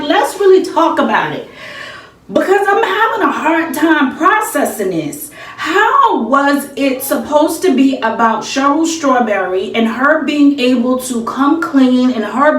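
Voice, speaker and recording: An American woman in her thirties giving emphatic, casual, opinionated commentary to camera, repeating phrases for effect. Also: a little high. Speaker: YouTube commentator. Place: small indoor room with bare walls. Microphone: camera a few feet away. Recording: echoey room, distant mic.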